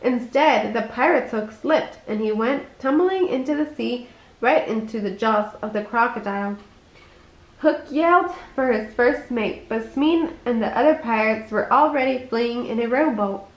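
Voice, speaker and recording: A woman with a fairly high voice reads a children's storybook aloud in an echoey room. Her delivery is expressive, suited to a bedtime story. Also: moderate pace, highs cut off. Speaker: storybook reader.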